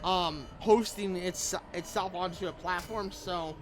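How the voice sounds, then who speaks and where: High-pitched man rambling over gameplay. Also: fairly even intonation, unhurried. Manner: rambling, repeats phrases. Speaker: gaming video creator. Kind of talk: casual rambling video commentary over gameplay. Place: room at home. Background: background music.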